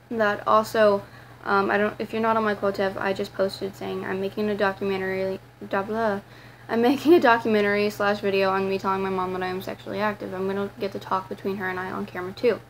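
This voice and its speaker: A teenage girl with a raspy voice, talking to her camera in a rambling, casual vlog full of fillers and restarts. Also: medium pitch, level tone, fairly quick, a little hiss or hum, rough recording. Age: teens. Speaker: vlogger.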